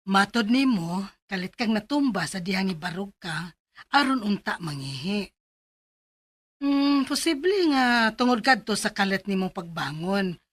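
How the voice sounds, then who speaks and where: An actress with a Cebuano accent playing a calm female doctor who explains and advises in a Bisaya radio drama. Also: medium pitch, unhurried. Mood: calm, advising.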